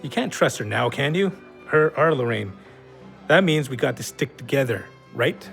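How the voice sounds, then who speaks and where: Bossy, gravelly drinking buddy. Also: male voice, medium pitch, expressive, normal speed, fairly bright tone, faint music behind. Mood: sly coaxing. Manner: slangy, sarcastic, needling. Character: bossy, goading, foul-mouthed man, scornful drinking buddy. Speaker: audio play actor. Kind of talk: gritty contemporary audio drama dialogue.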